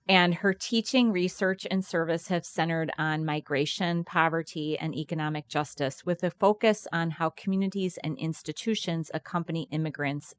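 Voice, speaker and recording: A woman's voice: deep voice, clear, resonant tone, dry recording. A woman hosting an interview podcast, upbeat, gracious and welcoming. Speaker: podcast host. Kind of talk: interview conversation.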